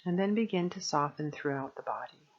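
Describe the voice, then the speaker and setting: A woman guiding a meditation as a teacher, speaking gently and soothingly in measured phrases with long pauses. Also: sits low, lively intonation, muffled recording. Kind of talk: guided meditation narration.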